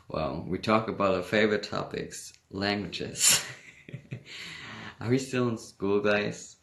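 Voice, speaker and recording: A man's voice: lowish voice, takes it slowly, pauses often, sharp tone. A young man from Hamburg with a German accent, chatting casually on a livestream, reading viewer comments and laughing often. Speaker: livestream host.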